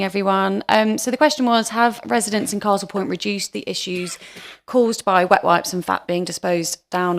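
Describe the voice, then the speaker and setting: A woman's voice: on the low side, gravelly. A woman answering questions at a council committee meeting, hesitant and full of fillers. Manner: hesitant, many fillers and "sort of". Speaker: water company representative.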